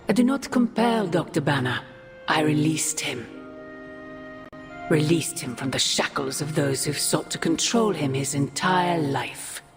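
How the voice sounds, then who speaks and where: A regal, scheming sorceress from a video game. Her voice is very low for a woman, and her delivery is theatrical and self-righteous. Music plays clearly underneath. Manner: theatrical, deliberate, grandiose. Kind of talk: cinematic video game dialogue.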